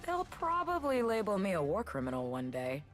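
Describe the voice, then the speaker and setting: An actress playing a young female warrior in a video game, dry, sarcastic and darkly humorous, with a deadpan edge and fierce devotion. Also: mid-range voice, moderate pace, faint music behind. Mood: darkly wry.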